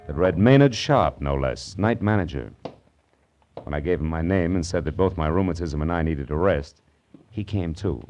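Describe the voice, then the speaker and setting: Low-voiced wry American private eye. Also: male voice, darkish tone. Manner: wry, slangy, clipped. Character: wry, flirtatious hard-boiled male private detective. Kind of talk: 1950s radio noir acting and first-person narration.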